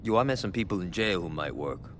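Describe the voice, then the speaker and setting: Brief: brash, profane gang lieutenant, low-ish voice that swings up and down, cocky game cutscene delivery. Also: male voice, animated, fairly quick, slight background noise. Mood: scheming. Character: brash foul-mouthed male gang lieutenant. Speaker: game character.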